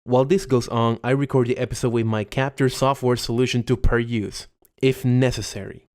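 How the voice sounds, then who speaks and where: A man reading his own scripted voiceover in a measured, formal way, close to a USB condenser mic at home. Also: mid-range voice, fairly even intonation, a little mellow. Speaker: video creator.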